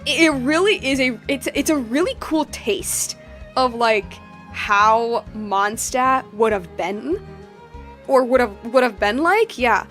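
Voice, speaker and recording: Higher-voiced playful woman gaming streamer. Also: slow. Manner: playful, rambling, excitable. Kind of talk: casual game playthrough commentary. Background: game music.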